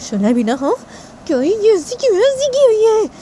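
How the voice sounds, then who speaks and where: A woman's voice: very high voice, sing-song, a little fast, warm tone, a little hiss or hum, pitched above the performer's own voice. A woman voicing an angry, stubborn young woman in a story, scolding insistently and repeating herself. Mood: angry, sulking.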